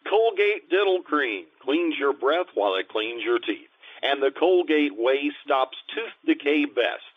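A man's voice: on the high side, expressive, a little slow, narrow, tinny audio. A man announcing in the scripted style of an old-time radio sponsor message. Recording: thin, band-limited radio-style recording.

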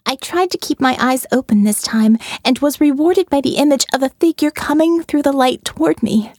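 A woman's voice: sits high, moderate pace, darkish tone, no room sound, studio quality. A woman reading a first-person audiobook in a wry, conversational way. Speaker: audiobook narrator.

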